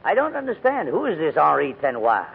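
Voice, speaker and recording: Fussy old gentleman in a 40s radio play, formal n hesitant but kindly, voice swoops around, muffled old recording. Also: a little high, huge pitch swings, fairly quick, gravelly, slightly rough, full character voice. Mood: grumbling, fussy. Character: crusty, reluctant but kindly old English gentleman guardian. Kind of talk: 1940s radio acting. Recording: muffled vintage 1940s radio recording, music.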